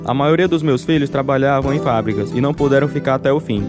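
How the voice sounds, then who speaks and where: An actor as a devout male prisoner, forgiving and grave, with a measured delivery and a medium-pitched voice. It is radio drama, with guitar music clearly behind him. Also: fairly even intonation, natural voice. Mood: grave narrating. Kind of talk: radio drama narration and dialogue.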